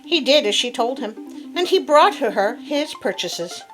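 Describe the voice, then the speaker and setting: A woman telling a fable as host in an expressive, theatrical read-aloud style with playful asides. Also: high voice, normal speed, rough recording. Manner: theatrical, animated. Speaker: storyteller host. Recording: classical music playing behind. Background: soft piano sonata.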